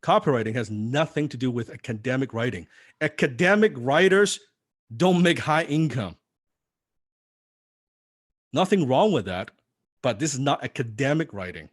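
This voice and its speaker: A man's voice: mid-range voice, lively intonation, moderate pace, long pauses, no echo. Punchy male seminar speaker, dry sound. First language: not English. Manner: punchy, with rhetorical questions to the audience. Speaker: seminar speaker teaching copywriting. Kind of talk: live seminar teaching. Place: seminar room before a live audience.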